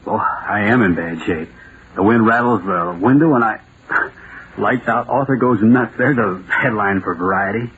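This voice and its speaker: The recording is narrow and tinny with clear old radio noise. A male actor plays an anxious American writer in a vintage radio drama, self-doubting and theatrical. He talks in halting, muttered bursts as if to himself, edging toward fear. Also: fairly low pitch, lightly acted. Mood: nervous musing. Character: jittery, self-doubting male radio playwright sliding into terror.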